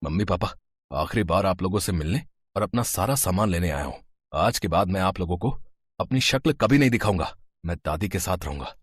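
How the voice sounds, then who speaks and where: A man's voice: sits low, lively intonation, a little fast, breathy edge, no room sound, clean studio sound. A man voicing an indignant twenty-year-old grandson in a storybook tale, earnest and reproachful. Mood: angry, hurt.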